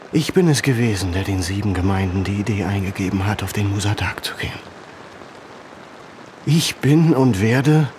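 Casting: a low-voiced resistance leader, grave, self-accusing, heavy and halting, with rain falling around him. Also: male voice. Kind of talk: radio drama acting. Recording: steady rain noise behind voice.

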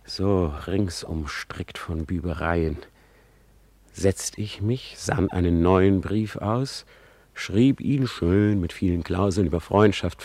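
A man acting a brooding, ironic young prince in a classical radio drama, with measured, articulate, dramatic delivery. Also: deep voice, darkish tone, no echo, heavily acted.

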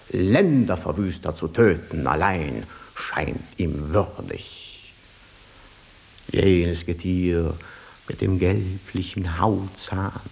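A grand chorus speaker, proclaims verse for a radio play. The delivery is slow, weighty and emphatic. The voice is gravelly and creaky, with scornful grandeur. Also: male voice, lowish voice, a little mellow, exaggerated voice. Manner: declamatory, measured, emphatic. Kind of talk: solemn declaimed verse in stage radio drama.

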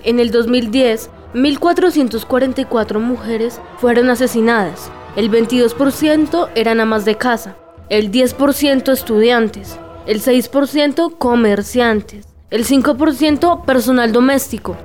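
A woman's voice: on the high side, darkish tone. A woman with a Colombian accent giving a scripted, factual radio reading. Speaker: radio narrator.

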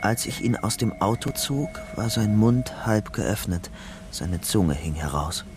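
A man's voice: fairly low pitch, animated, unhurried, noisy background. An actor playing a troubled young man on the run in a German radio crime drama, telling his story in a brooding, intimate way. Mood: tense, brooding. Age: young adult. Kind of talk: radio drama narration and dialogue. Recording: studio radio drama with music underneath.

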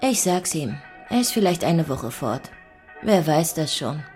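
A woman's voice: low voice, very animated, a little slow, darkish tone. An actress playing a worldly, weary mother in a German radio play, wry and musing. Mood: matter-of-fact. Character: worldly, weary, dreamy young mother of a teenage boy. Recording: music clearly playing behind.

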